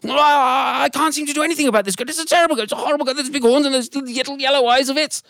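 A man's voice: very high-pitched, very fast, gravelly, a bit bright, no echo, voice pushed up, heavily acted. A man voicing an exasperated, polite father with a flustered manner in a told story.

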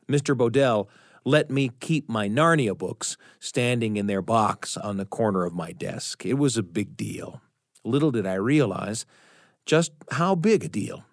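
A middle-aged man narrates a personal story in an earnest, reflective manner, pausing deliberately for emphasis. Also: mid-range voice, dry recording, clean studio sound. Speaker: radio storytelling show host.

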